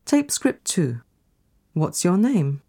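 Lowish, mellow woman with very lively intonation. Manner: deliberate, carefully enunciated. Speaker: language course recording narrator. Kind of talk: scripted language-lesson reading.

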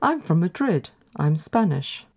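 A woman narrating a scripted language course recording, deliberate and carefully enunciated. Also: fairly low pitch, very animated, darkish tone, slightly rough. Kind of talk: scripted language-lesson reading.

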